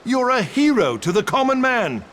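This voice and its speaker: An actor playing a proud, gracious old male knight captain in a game cutscene, speaking in a formal, measured way. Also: very high-pitched, very animated, a bit bright, slight background noise, exaggerated voice. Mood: admiring. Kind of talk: video game cutscene voice acting.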